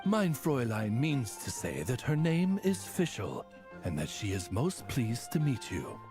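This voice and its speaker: Courtly raven servant Oz, lively pitch. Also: male voice, measured pace. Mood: courteous. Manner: formal, courtly interpreter with German honorifics. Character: dignified talking raven servant, courtly and formal. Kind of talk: anime game voice acting. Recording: game music behind the voice.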